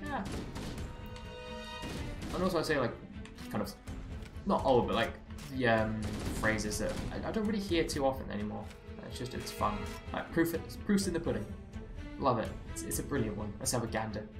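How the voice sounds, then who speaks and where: A talkative English man with a Southeast accent worn slightly American, rambling through live game commentary in a mid-pitched voice. He cracks wry, self-mocking asides as he plays. His voice has a roomy echo, and game music plays clearly behind him. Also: big pitch swings, moderate pace, long pauses. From England. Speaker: game streamer.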